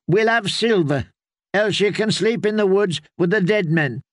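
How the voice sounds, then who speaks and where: A man's voice: sits high, a little slow, dry recording, studio quality, pitched above the performer's own voice. A man voicing a weary, wary ten-year-old innkeeper girl in a storybook, with blunt, questioning speech. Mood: demanding, wary.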